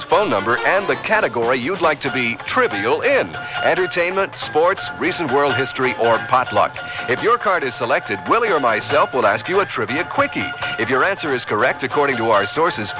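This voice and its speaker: A man's voice: mid-range voice, dull audio. A man working as a radio DJ, reading a scripted contest promo.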